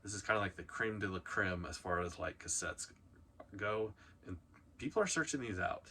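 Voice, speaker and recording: A man's voice: fairly low pitch, expressive, moderate pace, pushed and bright, slight background noise. A man hosting a video, talking through a countdown in a casual, informal way with punchy emphasis. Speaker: host of a reselling video channel. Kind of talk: casual countdown commentary to camera.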